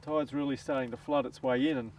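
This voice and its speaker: A man presenting a fishing show in shallow water on tidal flats, explaining his gear in a relaxed way. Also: medium pitch, fairly quick. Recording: distant outdoor sound with clear wind and water noise.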